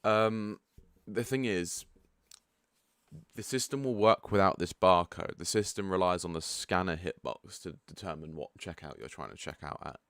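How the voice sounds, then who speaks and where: A man with a croaky, just-woken-up voice giving a relaxed, chatty walkthrough of a software setup. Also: low-pitched, moderate pace, no room sound, studio quality. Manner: relaxed and chatty, frank asides. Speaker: product developer giving a setup tutorial. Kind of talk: casual screen-share walkthrough.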